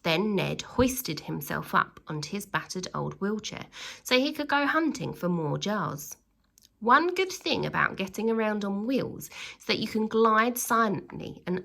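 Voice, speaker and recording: Woman storyteller for kids, really animated, bright voice, bit of creak, stretches out the big words. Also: mid-range voice. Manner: theatrical, drawn-out emphasis on key words. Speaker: teacher reading a children's book aloud. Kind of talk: expressive children's storytelling.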